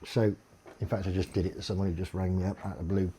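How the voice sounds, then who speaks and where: A deep-voiced man, in a blunt, sweary, aggrieved monologue complaining to camera. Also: flat delivery, quick pace, dark tone, slight background noise.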